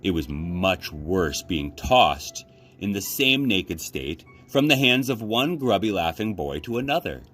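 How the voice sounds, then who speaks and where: A man reading a children's story aloud as an expressive narrator, like a librarian at story time. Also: low-pitched, measured pace, faint music behind.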